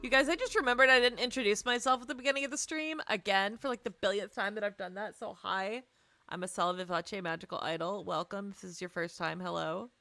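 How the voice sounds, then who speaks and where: A woman livestreaming a visual novel, switching between playful, chatty asides and expressive read-aloud narration. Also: mid-range voice, quick pace, dry recording. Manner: playful, chatty, self-deprecating. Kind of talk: casual stream chat mixed with expressive read-aloud narration. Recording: music playing behind the voice. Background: game music.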